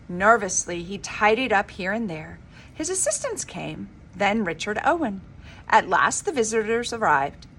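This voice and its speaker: A woman reading a children's story aloud in an expressive, dramatic, emphatic storybook manner. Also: mid-range voice, slight background noise. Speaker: reader of a children's book.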